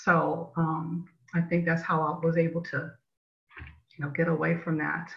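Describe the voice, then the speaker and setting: Gravelly, even-toned woman reminiscing. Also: low-pitched, normal speed. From born in Hawaii, childhood partly in Tennessee. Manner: hesitant, reflective. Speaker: church group participant. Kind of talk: casual personal reminiscence. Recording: dull, lo-fi sound.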